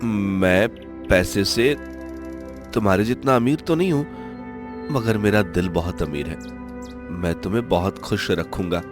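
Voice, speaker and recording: A man voicing a humble, lovestruck young village blacksmith, earnest and halting, in a Hindi cartoon story. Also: on the low side, over music, lightly acted. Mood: earnest, devoted.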